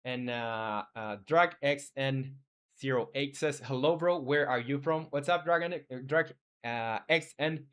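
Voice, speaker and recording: Guy streaming his game dev, average pitch, casual and kinda hesitant, chatting with viewers. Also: a little slow, a bit bright, clean tone. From Chile. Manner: informal, hesitant, reads viewer messages aloud and replies. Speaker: game developer live streamer. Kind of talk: casual livestream chat.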